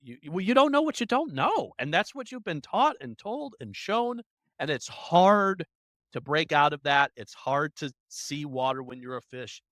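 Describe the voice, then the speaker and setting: An adult interview guest speaking in animated, emphatic bursts. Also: medium pitch, normal speed, no echo. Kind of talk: conversational interview.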